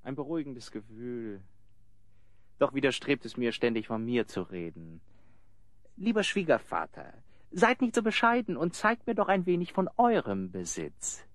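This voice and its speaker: A man playing a flattering, scheming young son-in-law, suave and falsely modest, in a radio play. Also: medium pitch, moderate pace, no echo, studio quality. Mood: ingratiating.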